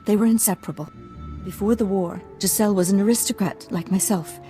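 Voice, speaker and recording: A woman's voice: mid-range voice, fairly even intonation, a little fast, warm tone, faint music behind, natural voice. An actress playing a refined, proud but anxious noblewoman in a video game, speaking formally and earnestly. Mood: composed, earnest. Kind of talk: video game character acting.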